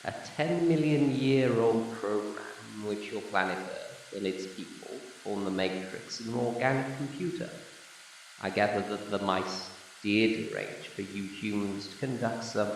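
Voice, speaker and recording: Very echoey guy doing an ancient alien planet designer, creaky voice, formal and courteous, amateur radio play. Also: fairly low pitch, lightly acted. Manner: measured, formal, old-fashioned phrasing. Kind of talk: amateur audio drama acting. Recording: echoey room, distant mic, faint noise.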